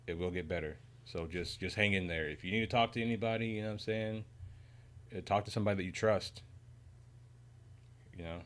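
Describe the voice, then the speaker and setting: Young male streamer, low voice, relaxed rambling chat full of fillers. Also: a little fast, pauses often. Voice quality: deep voice. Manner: laid-back, rambling, lots of "man" and "you know". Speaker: game streamer. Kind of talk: casual livestream chat.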